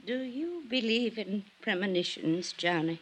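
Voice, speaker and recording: A woman's voice: mid-range voice, expressive, a little slow. An actress playing a naive, aging society widow in an old radio drama, theatrical, girlish and wistful. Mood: wistful, fearful. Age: older. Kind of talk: 1950s radio drama acting.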